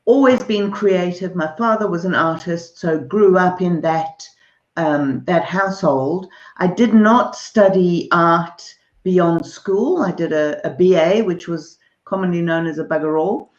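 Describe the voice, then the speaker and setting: A woman from Zimbabwe and South Africa with a South African accent, a guest answering interview questions in a relaxed, thoughtful way with many hesitations. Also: lowish voice, a little slow. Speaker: artist and author, interview guest. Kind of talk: casual video-call interview. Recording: dull lo-fi video call in a roomy space.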